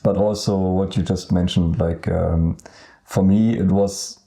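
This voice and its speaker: A young man from Germany with a German accent, co-hosting a casual podcast and talking hesitantly with many pauses. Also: sits low, fairly even intonation, vocal fry, dark tone. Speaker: podcast co-host. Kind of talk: casual chat.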